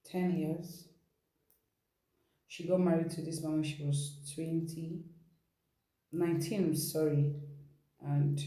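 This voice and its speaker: A woman's voice: low voice, mellow. A woman hosts an informal storytelling session, retelling someone's account in a conversational way with rhetorical asides. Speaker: storytelling host. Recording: roomy, echoing sound.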